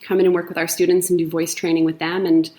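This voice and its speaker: A middle-aged drama teacher with a low-pitched voice speaks in a relaxed podcast chat. She is enthusiastic and rambles a little, filling pauses with ums. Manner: chatty, enthusiastic, lots of ums. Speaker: high school drama teacher. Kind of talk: casual podcast conversation.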